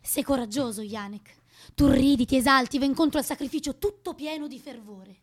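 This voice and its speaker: Female revolutionary, solemn, compassionate, doubting, theatrical radio drama, higher register, close dry recording. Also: brisk, no room sound.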